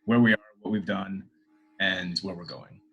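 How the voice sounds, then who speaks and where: A man's voice: low-pitched, normal speed, breathy edge, lo-fi sound. A middle-aged man answering interview questions in a hesitant, casual way, full of fillers and restarts. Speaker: interview guest, corporate professional.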